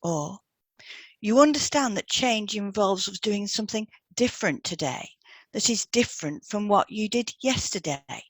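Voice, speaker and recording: A woman reads scripted podcast advice in a gentle, measured manner. Her voice sits at a medium pitch. The sound is very dry, with little room around it. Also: lively intonation, breathy edge, no echo. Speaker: podcast co-host and hypnotherapist. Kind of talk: scripted podcast advice with example client questions read out.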